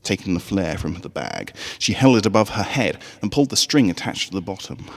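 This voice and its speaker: A man narrating a scripted story in an expressive, dramatic way. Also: low-pitched, brisk, creaky, big-room sound. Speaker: fan fiction reader.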